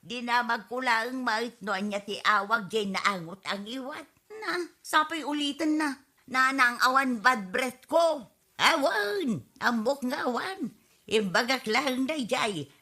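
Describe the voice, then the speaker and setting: Nagging wife and auntie, Ilocano radio comedy, played by a woman. Bright, forceful, complaining delivery on the high side. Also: expressive, slow pace, heavily acted. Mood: scolding, whiny.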